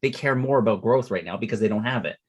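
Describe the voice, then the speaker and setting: A man's voice: on the low side, quick pace, darkish tone, breathy edge. A middle-aged man, coaching an audience on finding investors. He speaks casually, often restarts his sentences and tags them with "right?". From Canada. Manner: conversational, repeats words and restarts, tags "right?". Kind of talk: seminar talk.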